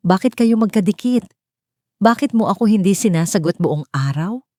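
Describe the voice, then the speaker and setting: A woman's voice: mid-range voice, animated, moderate pace, dark tone, dry recording, clean studio sound, close to an everyday voice. A woman voicing a betrayed wife in an audio drama, pleading and wounded with a trembling manner. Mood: insistent.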